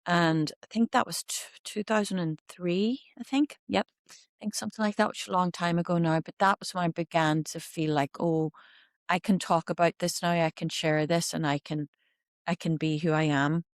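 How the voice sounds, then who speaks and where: A woman's voice: on the low side, normal speed, no echo. Belfast irish woman, 50s, chatty and candid, lots of little quoted bits, dry close sound. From Northern Ireland. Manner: candid, animated storytelling with quoted asides. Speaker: guest. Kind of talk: reflective interview conversation.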